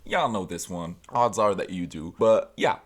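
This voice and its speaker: Young Tunisian guy, Arabic first language, deep voice, casual music vlog chat full of fillers. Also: normal speed. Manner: chatty, loose, self-interrupting with fillers. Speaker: music vlogger. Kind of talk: casual music recommendations to camera.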